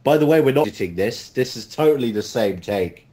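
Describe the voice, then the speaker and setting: A man's voice: mid-range voice, expressive, brisk, mellow. A man chatting casually as a guest in a group interview, with a jokey, irreverent manner. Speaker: voice actor and panel guest.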